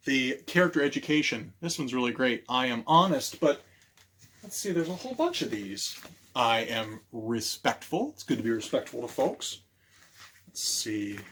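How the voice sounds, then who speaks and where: A man reading aloud as a children's storytime librarian, theatrical, with dramatic pauses and hushed moments, putting questions to young listeners. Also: mid-range voice, a little hiss or hum.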